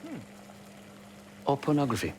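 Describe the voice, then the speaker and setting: An actor playing a cerebral, remorseful ex-secret-police hacker in a video game, speaking formally and wryly. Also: male voice, mid-range voice, huge pitch swings, a little hiss or hum. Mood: dry, wry. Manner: formal, measured, philosophical, wry. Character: remorseful ex-Stasi hacker turned pacifist, cerebral, wry man. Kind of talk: video game character dialogue.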